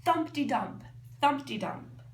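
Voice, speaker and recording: A woman's voice: high voice, animated, takes it slowly. A woman teacher reading a storybook aloud expressively, explaining and thinking aloud for children. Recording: echoey room, faint background noise.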